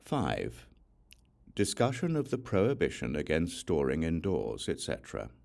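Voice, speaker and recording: Very deep-voiced man narrating scripture. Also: wildly swooping pitch, normal speed, gravelly, a little mellow. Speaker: audiobook narrator.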